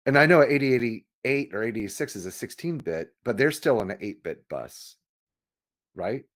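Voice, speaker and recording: Casual male co-host with lively, swooping pitch. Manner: halting, thinking aloud. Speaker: podcast co-host. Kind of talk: casual chat about computer history.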